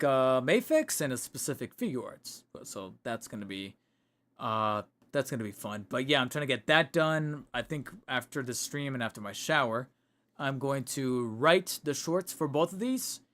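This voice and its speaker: A man's voice: medium pitch, a bit bright. A man in a casual livestream chat, rambling and correcting himself, his voice getting tired. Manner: rambling, self-correcting, chatty. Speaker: streamer. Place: home room. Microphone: desk microphone.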